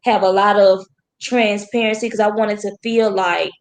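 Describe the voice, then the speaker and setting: A woman guest on a casual podcast, a dance coach talking in a blunt, animated, motherly way. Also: mid-range voice, normal speed.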